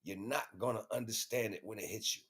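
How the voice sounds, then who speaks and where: American male livestream host, low pitch, informal spiritual monologue, street slang, emphatic and repetitive. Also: fairly even intonation, quick pace, a bit bright, husky.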